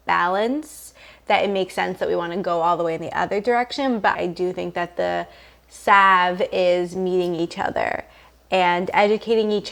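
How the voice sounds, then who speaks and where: A woman's voice: medium pitch, creaky. A woman hosting a casual podcast conversation, agreeable and thoughtful, often murmuring along. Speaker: podcast host.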